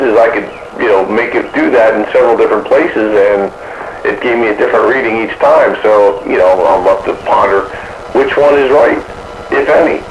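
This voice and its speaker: A man chatting casually about technical matters as a radio operator, rambling along in a folksy way over a two-way radio link. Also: lowish voice. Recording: dull noisy radio transmission, boxy echo.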